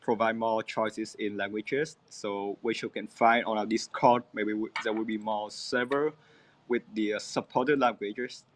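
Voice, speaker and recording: A man from a crypto project clarifying answers, pausing often on "uh" between phrases. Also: on the low side, fairly even intonation. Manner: measured, with frequent "uh" pauses. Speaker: crypto project team member. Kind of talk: answering AMA questions.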